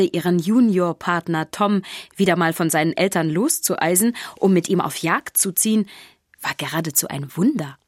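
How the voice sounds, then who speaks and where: An actress playing a chatty, down-to-earth castle helper who narrates a children's radio play wryly and matter-of-factly. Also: female voice, on the low side, darkish tone, dry recording, clean studio sound, close to an everyday voice. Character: chatty, down-to-earth woman living and helping in a castle.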